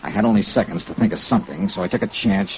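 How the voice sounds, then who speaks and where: A man's voice: fairly low pitch, quick pace, close to an everyday voice. An American man acting a hard-boiled, world-weary investigator in an old-time radio detective drama, with clipped, dramatic delivery. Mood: tense. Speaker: lead actor and narrator. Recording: dull vintage radio recording, rain noise. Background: steady rain.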